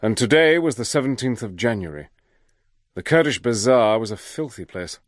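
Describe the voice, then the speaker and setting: An actor playing a resourceful, determined spy-adventurer in a radio drama, brisk and wry, also narrating in the first person. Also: male voice, medium pitch, lively intonation, natural voice. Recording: radio drama with noise behind.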